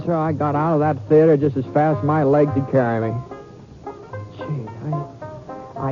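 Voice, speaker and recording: An actor playing a reluctant, bashful cowboy gunfighter who stammers and halts in a radio western. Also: male voice, a little high. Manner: halting, stammering, flustered. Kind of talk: old-time radio western acting with narration. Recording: tinny vintage radio recording with music.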